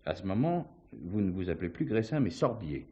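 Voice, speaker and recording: A shrewd police commissioner in a stage mystery. His voice sits very low, and he speaks in measured, theatrical phrases. He questions his suspect with assured, ironic courtesy. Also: very animated, warm tone, lo-fi sound. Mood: methodical, accusing. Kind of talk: French stage mystery acting.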